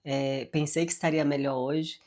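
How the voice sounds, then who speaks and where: A woman's voice: low voice, fairly even intonation, moderate pace. A woman with a congested, nasal voice teaching the Bible and praying, often adding "né?" and "amém?" as she speaks, with a Brazilian accent. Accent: Brazilian Portuguese. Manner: warm, frequent "né?" and "amém?" tags. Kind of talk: devotional Bible teaching with prayer.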